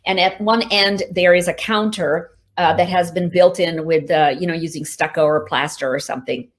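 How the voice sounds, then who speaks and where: Female author, Hindi native, low register, chatty Q&A over a video call, easy laughs, lots of fillers. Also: fairly even intonation, normal speed. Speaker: author answering reader questions. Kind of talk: casual virtual Q&A. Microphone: video call mic.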